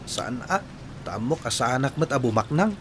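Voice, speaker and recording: A man's voice: mid-range voice, animated, normal speed, fairly bright tone, slight background noise, close to an everyday voice. A man playing a dutiful, easygoing young village son in an Ilocano radio drama. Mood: easygoing, obliging.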